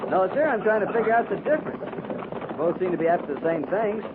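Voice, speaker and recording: A man's voice: high-pitched, expressive, fast. An American actor playing an earnest, respectful cavalry soldier addressing his superior in a 1950s radio western, plain-spoken and deferential. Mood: earnest, curious. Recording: tinny, heavily noisy 1950s radio recording.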